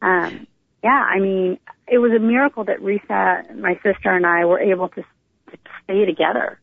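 Creaky-voiced American woman on the phone. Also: mid-range voice, steady tone, moderate pace, no echo. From Northern California, United States. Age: middle-aged. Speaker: guest sharing her life story. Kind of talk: personal storytelling in a casual interview. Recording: narrow tinny phone line.

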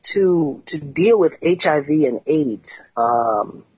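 Husky-voiced man hosting an internet talk show. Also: a little high, animated, no room sound. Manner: halting, many restarts and fillers. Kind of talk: casual podcast chat. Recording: dull lo-fi sound, dry.

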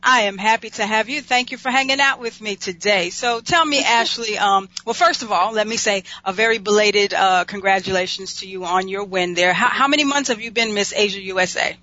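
A woman's voice: mid-range voice, fairly quick, hardly any pauses, bright, forceful tone, no room sound, muffled recording. A woman hosting an interview show, chatty and enthusiastic, often repeating her words. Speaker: talk show host.

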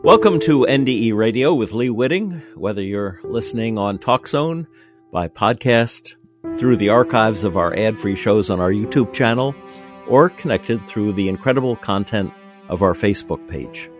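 A man's voice: lowish voice, expressive, normal speed, soft background music. A man hosting a radio interview show, speaking in a friendly, plain manner.

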